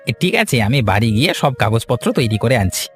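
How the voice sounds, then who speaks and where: A mid-pitched, smooth-talking cartoon swindler, played by a man. He is a greedy village trader, glib and scheming, with music underneath. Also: very animated, brisk, natural voice. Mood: ingratiating. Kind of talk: cartoon fairy-tale dialogue. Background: background music.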